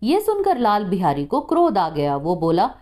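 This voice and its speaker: A woman narrating a scripted short story in a measured, expressive storytelling manner. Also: mid-range voice.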